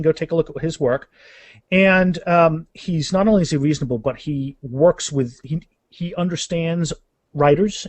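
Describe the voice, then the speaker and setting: A man's voice: a little high, darkish tone, no echo. A man chatting casually and enthusiastically as a guest author in a podcast interview.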